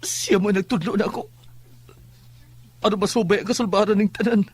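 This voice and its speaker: A man's voice: sits high, a little fast, darkish tone, husky. A man playing an anxious, pleading young man in a horror radio drama, urgent and emotional.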